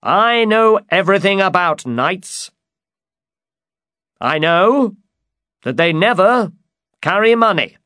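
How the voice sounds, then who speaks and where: A man's voice: sits high, animated, takes it slowly, pauses often, bright, forceful tone, dry recording, studio quality, pitched above the performer's own voice, exaggerated voice. A man voicing an eager, pompous old would-be knight in a storybook, speaking with earnest chivalry. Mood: proud, excited. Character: eager, grandiose old man who believes he's a knight. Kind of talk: dramatized storybook reading.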